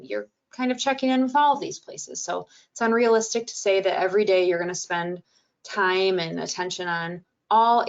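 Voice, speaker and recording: A woman with a soothing voice presenting a wellness webinar, calm and gentle, with frequent fillers. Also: mid-range voice, highs cut off. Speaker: webinar presenter and podcast host.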